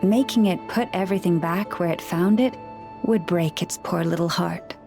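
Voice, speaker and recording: A woman voicing a gentle, wise woman in a video game who speaks with animals, talking in a calm, measured, softly reflective way. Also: lowish voice, over music, natural voice.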